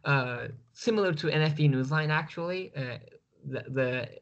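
A man's voice: fairly high pitch, moderate pace, muffled recording. A man from Turkey with a Turkish accent, a guest speaking over a video call, hesitant and full of fillers.

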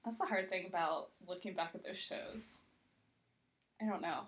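A woman's voice: lowish voice, moderate pace. A woman TV critic chatting casually as a podcast guest in an informal, wry way. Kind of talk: casual chat.

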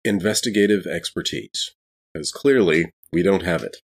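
A man's voice: low voice, normal speed, husky. A man playing in a tabletop game, talking casually and describing what his character does.

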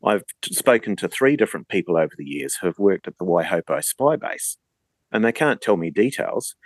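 A man speaks as an interview guest. He explains things in measured, deliberate phrases, and the sound is dry with hardly any room to it. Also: low voice, very animated, husky, dry recording.

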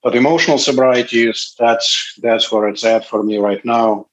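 A man in his late fifties from Russia, speaking, gives a candid, halting personal testimony at a recovery meeting. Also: mid-range voice, fairly even intonation, poor audio. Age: 50s. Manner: candid, halting, pauses often.

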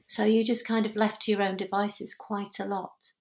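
A woman's voice: medium pitch, little pitch movement, normal speed. A woman actor being interviewed, talking in a chatty way, laughing often and correcting herself. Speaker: actor and dancer guest. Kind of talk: conversational interview.

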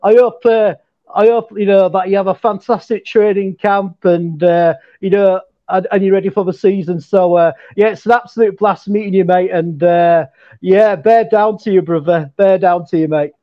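A Yorkshire man with a fairly high voice talks in an eager, halting way, full of "uh"s and restarts. His sound comes through a muffled, lo-fi line. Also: steady tone. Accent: Yorkshire English. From England. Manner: nervous, earnest, halting with many fillers. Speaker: show contributor. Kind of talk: casual call-in chat.

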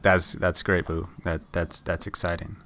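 A man hosting a casual home podcast, talking in a monotone with lots of fillers. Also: sits low, moderate pace. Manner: monotone, rambling with many fillers. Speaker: podcast host. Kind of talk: casual chat. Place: home in San Antonio.

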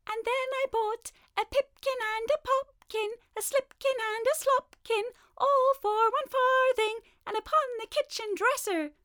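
A chorus of tiny, cheeky mouse tailors chants rhymes in a piping, sing-song lilt, all put on by a woman reading a storybook. The voices sit very high and drawn out. They sound merry and a little saucy. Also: measured pace, few pauses, bright, forceful tone, full character voice. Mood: teasing.

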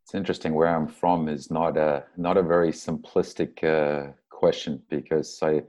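A man's voice: a bass voice, steady tone, normal speed, darkish tone. A middle-aged man with a South African accent, giving casual, reflective answers as an interview guest. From Durban, South Africa. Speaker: interview guest, soccer coach.

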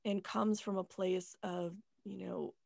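A woman's voice: mid-range voice, flat delivery, a little slow, vocal fry, darkish tone, dry recording, clean studio sound. A woman hosting a podcast, earnest and musing in casual chat, swearing freely. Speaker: podcast host.

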